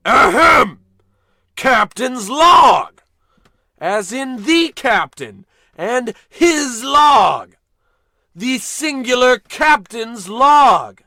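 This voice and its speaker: An actor playing a bossy, pompous, short-tempered space captain in a scripted old-time radio comedy. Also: male voice, extremely high pitch, big pitch swings, extremely slow, sharp tone, no echo, full character voice. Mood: indignant. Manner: pompous, blustering, commanding.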